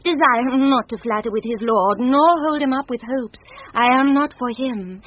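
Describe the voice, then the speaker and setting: An actress playing a proud, impulsive young countess in love, in formal, theatrical Shakespearean radio style. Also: female voice, fairly high pitch, natural voice. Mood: urgent, commanding. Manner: theatrical, deliberate, formal verse delivery. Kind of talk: classic Shakespearean radio acting. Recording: muffled 1970s radio recording, noisy.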